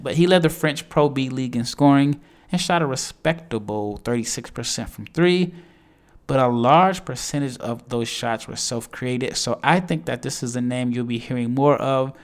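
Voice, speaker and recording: A man hosting his own show, giving a solo breakdown of basketball draft prospects in a chatty, enthusiastic way with frequent restarts. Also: mid-range voice.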